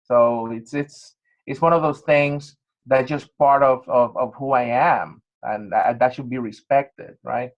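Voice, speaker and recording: A moderator leading a workshop discussion, affirming speakers and reflecting on their stories. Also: female voice, rumbling low, a little slow, lo-fi sound. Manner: affirming, reflective, many backchannels.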